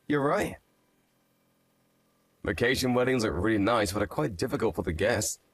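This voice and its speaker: Man acting an animated, gossipy skeptic. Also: fairly low pitch, normal speed, dry recording. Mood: gossipy, skeptical. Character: skeptical, gossipy man, old high-school acquaintance. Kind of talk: scripted dramatized story dialogue.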